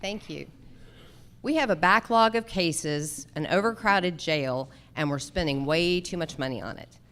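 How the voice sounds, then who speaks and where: A woman's voice: on the low side, a little slow, a bit bright. A woman running for judge, giving an emphatic stump speech in short, slogan-like statements. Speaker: judicial candidate, lawyer. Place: live candidate forum.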